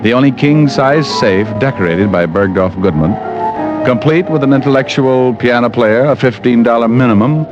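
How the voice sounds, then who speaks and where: An actor playing a flippant, sardonic hardboiled private detective narrating a 1940s radio drama. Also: male voice, mid-range voice, normal speed, close to an everyday voice. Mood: wry, narrating. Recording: muffled old radio recording with music.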